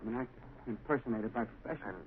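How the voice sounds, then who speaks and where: An actor playing a dying male impersonator who sounds weak and short of breath and talks in urgent, halting bursts, in an old radio drama. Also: mid-range voice, close to an everyday voice. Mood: desperate. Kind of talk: 1930s radio drama acting. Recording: scratchy old radio recording, tinny and echoey.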